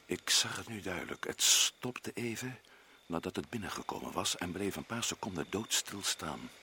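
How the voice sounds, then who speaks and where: A man's voice: low-pitched, lively intonation, normal speed, sharp tone, breathy edge, full character voice. A man playing a stern expedition leader in a radio play, questioning and giving curt orders. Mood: reading aloud. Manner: probing, commanding.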